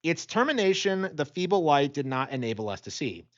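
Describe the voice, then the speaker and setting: Animated man narrating a gothic tale. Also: on the high side, a little fast, lo-fi sound. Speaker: audiobook narrator. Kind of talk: dramatic scripted gothic story narration.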